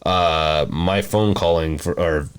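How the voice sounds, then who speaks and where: Low-voiced man hosting a casual podcast. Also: fairly even intonation, slow pace, clear, resonant tone. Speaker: podcast host. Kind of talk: casual chat.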